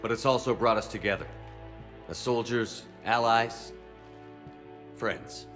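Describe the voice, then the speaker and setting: A man's voice: medium pitch, takes it slowly, a bit bright, soft background music. A man playing a steadfast, sober military commander in a video game cutscene. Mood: solemn, inspiring. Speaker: squad commander.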